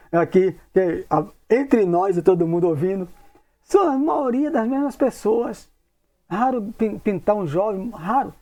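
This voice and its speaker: Male, 60s. Study group lecturer, soft mellow tone, slightly high voice, conversational with acted-out questions. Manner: conversational, acts out imagined questions, repeats tag questions. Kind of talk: informal study talk, reading and commenting.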